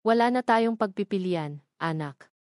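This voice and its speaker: A woman's voice: medium pitch, expressive, a little slow, a little mellow, no room sound, clean studio sound, lightly acted. A woman voicing a desperate, ashamed middle-aged father in an audio drama story. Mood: grave. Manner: earnest, desperate.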